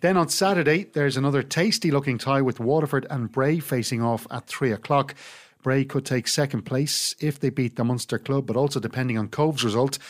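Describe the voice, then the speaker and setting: Male Irish sports podcast host, mid-pitched, delivering scripted match round-up narration. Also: lively intonation, normal speed.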